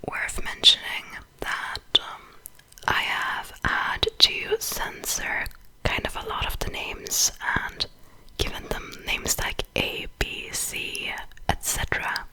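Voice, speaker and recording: Slow whispering Swedish-accented woman. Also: rough recording. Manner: soft unvoiced whisper, slow and unhurried. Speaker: ASMR creator. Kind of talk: ASMR whispering.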